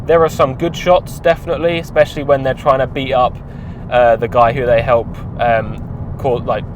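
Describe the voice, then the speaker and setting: Young man, offhand and wry, recorded in a car with road noise clearly audible behind him. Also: mid-range voice, little pitch movement, moderate pace, low-quality recording. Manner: rambling, offhand, wry asides. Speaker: film reviewer. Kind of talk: casual spoken review. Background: clear car and street noise.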